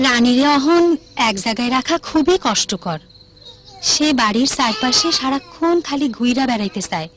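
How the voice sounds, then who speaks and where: A woman's voice: high voice, animated, moderate pace, fairly bright tone. A woman playing a careful, protective village mother in a cartoon, with a rural Bangladeshi accent. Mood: concerned. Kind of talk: animated cartoon acting.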